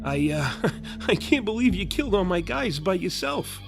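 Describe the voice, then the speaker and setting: A man acting a cowed, rough-talking killer in a horror audio drama, stammering and cursing in uneducated, folksy speech. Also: high voice, very animated, moderate pace, heavily acted. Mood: nervous disbelief. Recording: loud music behind the voice. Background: loud music.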